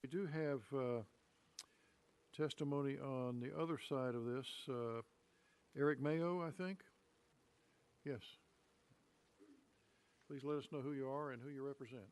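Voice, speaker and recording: Man, committee chair, mid-pitched voice, brief procedural remarks, off-mic. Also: a little slow, pauses often, darkish tone, clean tone.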